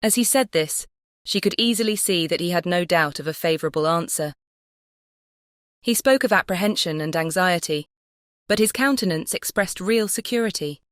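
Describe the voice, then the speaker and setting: A woman's voice: on the low side, animated, moderate pace, no room sound, studio quality. A woman narrating a classic novel as an audiobook, composed and carefully phrased. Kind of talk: scripted literary narration.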